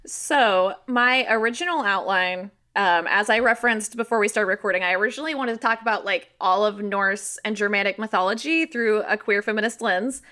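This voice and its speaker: Bright-voiced woman podcast guest. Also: medium pitch, fairly quick, few pauses. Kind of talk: casual explanatory chat.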